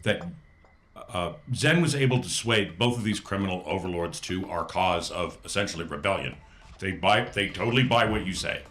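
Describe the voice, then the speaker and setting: A man's voice: low-pitched, lively intonation, moderate pace, fairly bright tone. A man playing a tabletop role-playing game, speaking casually and correcting himself as he goes. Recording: echoey room.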